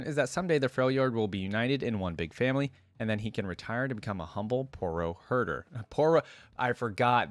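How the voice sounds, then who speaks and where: A man's voice: lowish voice, no echo. A man hosting a reaction video, moving between reading lore aloud and informal commentary as he thinks aloud.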